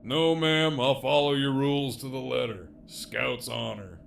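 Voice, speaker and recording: An actor playing a cocky, sardonic imprisoned villain in an audio drama, with a gravelly, drawling delivery and a taste for profanity. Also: male voice, mid-range voice, steady tone, measured pace, fairly bright tone. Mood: smug, playful. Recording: faint background noise.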